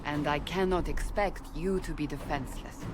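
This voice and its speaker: A woman's voice: low-pitched, normal speed, close to an everyday voice. A woman playing a stern, commanding warrior in a fantasy game, curt and grave. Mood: grave, firm. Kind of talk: dramatic fantasy game acting. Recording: clearly noisy outdoor game ambience.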